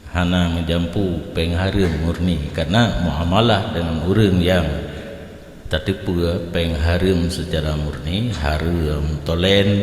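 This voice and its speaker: An older Islamic teacher with an Acehnese accent speaks in a very low, unhurried voice. He explains rulings informally, in the manner of a lecture answering questions. The sound rings with the long echo of a hall. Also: darkish tone, slight background noise. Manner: informal, explanatory, switches between languages. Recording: echoey hall with long reverb.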